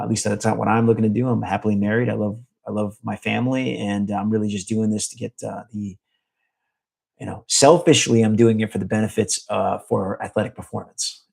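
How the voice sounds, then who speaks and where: A man's voice: on the low side, fairly even intonation, fairly quick, vocal fry, a little mellow, breathy edge. A middle-aged man from the United States, chatting casually with a live chat in a rambling way, full of fillers and restarts. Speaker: vlogger answering a live chat.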